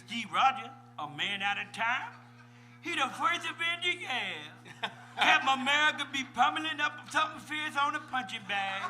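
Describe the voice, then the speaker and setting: A man's voice: extremely high pitch, lively intonation, normal speed, sharp tone, soft background music, exaggerated voice. A man voicing a goofy, folksy cartoon narrator, rambling and amused, in a live comedic read.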